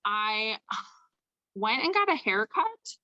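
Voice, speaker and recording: Bright-voiced Chicago woman chatting. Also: fairly high pitch, very animated, takes it slowly, dry recording, muffled recording. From Chicago suburbs. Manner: chatty, lots of "like" and "um", laughs easily. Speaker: guest, makeup artist. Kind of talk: casual interview chat. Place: home with kids nearby.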